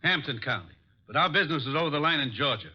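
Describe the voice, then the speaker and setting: High-pitched, menacing radio killer. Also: male voice, lively intonation, quick pace, slightly rough. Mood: cold threat. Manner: menacing, clipped. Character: cold, desperate gun-toting murderer holding detectives captive. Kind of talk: old radio detective drama acting. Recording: dull, band-limited vintage radio recording.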